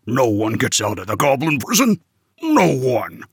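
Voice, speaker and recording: A big armored guard who bellows with pompous, unbending certainty, as a man acts him out. The voice sits low and carries a lively rise and fall. The recording is dry and close. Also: a little slow, dry recording, full character voice. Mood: stern. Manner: pompous, bellowing, rigid logic. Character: big stubborn guard in red armor, booming.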